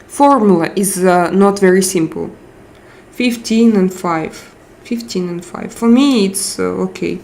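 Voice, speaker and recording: Slow-talking Russian-accented woman streamer. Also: medium pitch, creaky, darkish tone. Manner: slow, searching for English words with frequent hesitations. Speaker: live chat streamer. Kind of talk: casual live stream chat, reading viewer comments.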